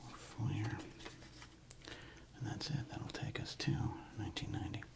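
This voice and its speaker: Whispering, gravelly low-voiced man, ASMR. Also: a little slow. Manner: relaxed, rambling, casual musing to himself. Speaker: host of a sports card ASMR channel. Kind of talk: ASMR, unscripted whispered commentary on a card collection.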